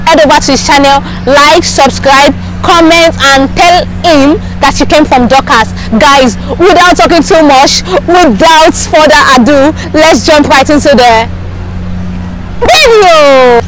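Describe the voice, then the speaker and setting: A woman's voice: high voice, unhurried, fairly bright tone, poor audio. A young woman from Africa hosting her own channel, talking upbeat straight to camera in a city park before street interviews. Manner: upbeat, repetitive channel promotion. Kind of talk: vlog intro and street interview. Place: outdoors in a city park lawn. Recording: outdoor park with crowd and traffic behind.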